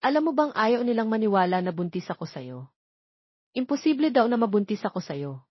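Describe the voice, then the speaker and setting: A woman's voice: fairly high pitch, very animated, fairly quick, breathy edge, dry recording, highs cut off. A woman voicing a hurt, tearful young mother in an emotional, pleading way for an audio romance drama. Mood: hurt, aggrieved.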